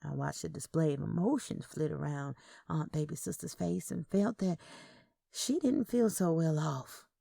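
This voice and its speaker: Gravelly, lower-voiced woman narrating a story. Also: moderate pace, dark tone, dry recording, studio quality. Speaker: audio fiction narrator. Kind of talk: scripted first-person story narration.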